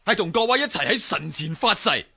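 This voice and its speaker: Fiery rebel leader, radio drama: high, forceful, loud rallying declamation. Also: male voice, sing-song, a little fast, gravelly, husky, heavily acted. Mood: rousing defiance. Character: fiery male rebel leader rallying his sworn brothers.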